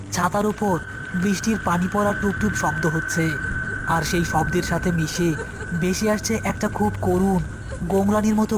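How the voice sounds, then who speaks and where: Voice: medium pitch, rough recording. Scripted horror narrator over music.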